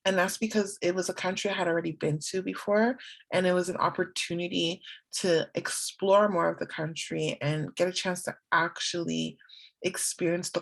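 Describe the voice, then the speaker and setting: A woman's voice: fairly low pitch, vocal fry. A young woman, chatting as a guest in a casual interview, laughing often and acting out her own quotes. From Canada.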